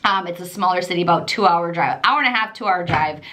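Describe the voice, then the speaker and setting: A woman from Michigan with a Midwestern accent with a Southern trace, telling a travel story to camera in a chatty, rambling way. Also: fast. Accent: Midwestern American with a trace of Southern. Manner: chatty and animated, lots of fillers and repetition, jumps pitch when quoting herself. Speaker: travel vlogger.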